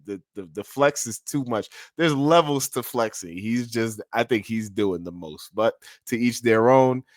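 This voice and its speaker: A man's voice: lowish voice, no room sound, studio quality. A man with a congested nose giving casual, slangy reaction commentary as host of a video.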